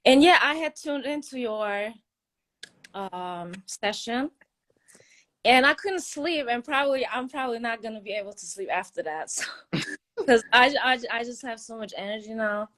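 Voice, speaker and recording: A woman's voice: fairly high pitch, moderate pace, fairly bright tone. A woman from Turkey calling in to a live stream, chatting eagerly and laughing easily. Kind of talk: casual chat.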